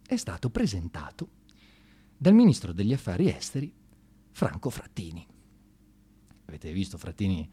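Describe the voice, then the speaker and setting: A man performing a satirical theatre monologue, conversational and halting, with ironic mock praise and a readiness to get excited. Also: sits low, breathy edge.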